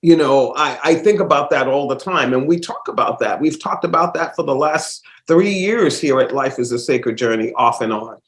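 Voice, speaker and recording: A man's voice: medium pitch, normal speed, hardly any pauses, roomy sound. A man hosting a casual solo podcast while stuffed up with a cold, rambling with fillers and restarts. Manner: rambling, warm-hearted, many fillers and restarts. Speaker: host.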